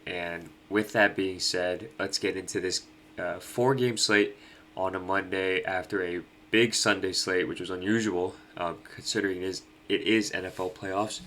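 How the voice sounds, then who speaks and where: Young adult male host, nasal and stuffed up, low-pitched, loose casual delivery. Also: a bit bright, a little hiss or hum. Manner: laid-back, many ums and uhs. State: nasal from allergies. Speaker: fantasy sports host. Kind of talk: casual picks breakdown.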